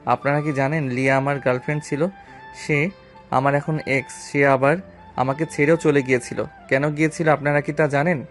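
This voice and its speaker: A man's voice: mid-range voice, fairly even intonation, moderate pace, faint music behind, lightly acted. A man acting a bitter young self-made businessman, a wounded lover, in a romantic audio story. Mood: defiant, accusing.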